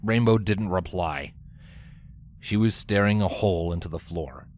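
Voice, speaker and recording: A man's voice: sits low, unhurried, a little mellow. A man reading scripted story narration as the narrator of a tale.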